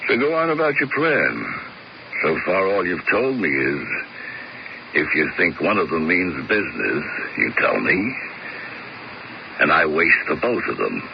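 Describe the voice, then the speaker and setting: An actor playing a blunt, mercenary hitman in a 1970s radio mystery, gravelly, laconic and deadpan in tough slang. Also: low voice, unhurried, pauses often, full character voice. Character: blunt, mercenary male hired killer, coolly unbothered. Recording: muffled, hissy old radio recording.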